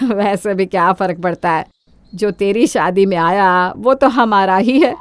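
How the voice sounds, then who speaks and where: A woman's voice: medium pitch, a little slow, smooth, no echo, lightly acted. A woman voicing a greedy, domineering older mother-in-law in a cartoon moral story, scolding and brazen. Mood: smug, scheming.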